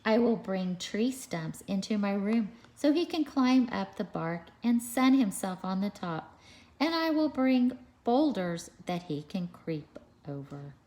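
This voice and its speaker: A woman's voice: mid-range voice, animated, a little slow, mellow, voice pushed up, close to an everyday voice. A woman voicing an eager, imaginative, earnest little boy in a storybook reading. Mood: eager, dreamy. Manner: earnest, enthusiastic.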